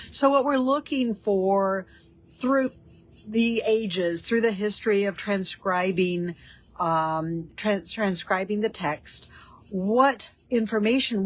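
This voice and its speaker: A woman with a stuffy, nasal voice from a cold, talking through a study of ancient texts. She speaks earnestly and unhurriedly, stopping on ums and restarting her sentences as she thinks aloud. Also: mid-range voice. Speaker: host of a Torah study show. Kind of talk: informal teaching talk.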